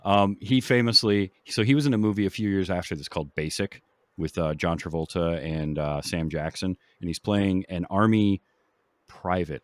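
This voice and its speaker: Male podcast host, lower register, informal movie talk, dry studio-like sound. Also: no echo. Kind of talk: casual film discussion.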